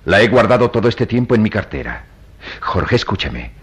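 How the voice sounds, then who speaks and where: A compassionate priest of melodramatic radio drama, grave and persuasive. His low voice is slightly husky and swings widely as he preaches with emphatic, oratorical weight. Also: sing-song, moderate pace, full character voice. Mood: solemn, reassuring. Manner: solemn, oratorical, emphatic delivery. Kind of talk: melodramatic Latin American radio drama acting.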